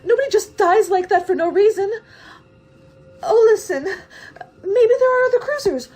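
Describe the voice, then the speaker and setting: Actress as a very high-voiced, naive young stowaway. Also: female voice, expressive, a little mellow. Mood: frightened, pleading. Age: young adult. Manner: naive, halting, pleading. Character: trusting, childlike young female stowaway facing death. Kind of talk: radio drama acting.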